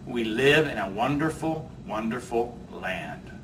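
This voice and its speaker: A man voicing a brisk, kindly female schoolteacher mouse in a storybook, with emphatic delivery. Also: mid-range voice, animated, natural voice. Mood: delighted. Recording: distant mic, faint background noise.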